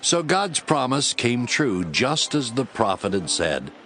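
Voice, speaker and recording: A man narrating scripture from a script in a measured storytelling manner. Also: mid-range voice, very animated, fairly bright tone, soft background music.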